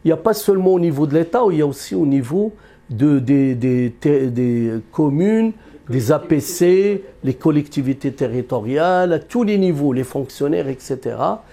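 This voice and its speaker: Earnest halting man, mellow voice. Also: a little high, lively intonation, vocal fry. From Algeria. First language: Berber. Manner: earnest, halting with many fillers and restarts. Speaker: economist interviewee. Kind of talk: unscripted interview.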